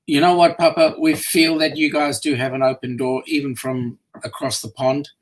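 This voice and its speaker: A man hosting a casual live stream, reading viewer comments in a blended South African and Australian accent, relaxed and bantering with frequent fillers. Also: a little high, moderate pace, poor audio. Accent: South African and Australian mix. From part South African.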